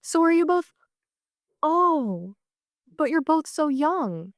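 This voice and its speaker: A man voicing an anxious, bewildered, kindly lady in an audio story, halting and repeating words. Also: very high-pitched, big pitch swings, fairly bright tone, dry recording, clean studio sound, pitched above the performer's own voice, heavily acted.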